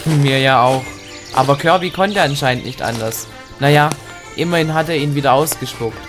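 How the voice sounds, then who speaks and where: A man's voice: mid-range voice, normal speed. A man acting in an amateur audio play with a complaining manner. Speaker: cast member playing himself in audio play. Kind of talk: amateur scripted audio drama dialogue. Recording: music behind the voice.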